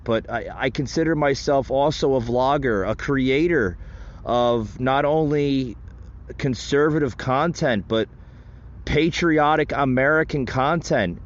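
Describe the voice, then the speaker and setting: A male podcast host talks casually to his listeners in a mid-pitched voice. He tends to repeat himself as he goes. The recording is dull and lo-fi. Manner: repetitive, addresses listeners as guys. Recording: dull, lo-fi sound.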